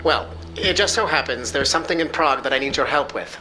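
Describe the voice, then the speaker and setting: An actor playing a snarky, self-important American tech expert in a video game, with a precise, sardonic delivery. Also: male voice, mid-range voice, quick pace, rough recording. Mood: businesslike. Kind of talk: video game cutscene acting. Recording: loud music behind. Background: music.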